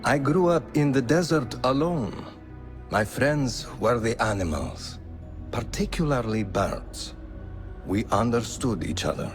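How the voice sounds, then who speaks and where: An actor playing a calm, wise male assassin mentor who speaks in a measured, grave way. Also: mid-range voice, lightly acted. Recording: game audio with music.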